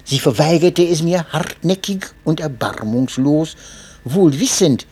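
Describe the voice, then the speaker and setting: A man reading a memoir aloud as narrator, in an expressive and measured way. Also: a little high, breathy edge. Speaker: audiobook narrator.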